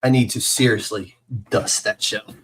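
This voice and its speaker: Chatty male podcast host, low voice. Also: normal speed, slight background noise. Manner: chatty, rambling, excitable fan. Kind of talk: casual podcast interview.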